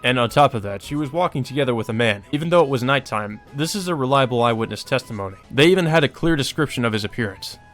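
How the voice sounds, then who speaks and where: A man's voice: mid-range voice, expressive, quick pace, few pauses, fairly bright tone, lightly acted. A man playing a wry, talkative male friend from a visual novel. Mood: wry, informative. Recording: music behind the voice.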